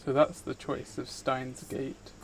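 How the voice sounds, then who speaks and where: A man's voice: medium pitch, little pitch movement, slow, slight background noise. A man live streaming, reading visual novel narration aloud and chatting casually with viewers in between. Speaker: streamer, VTuber host.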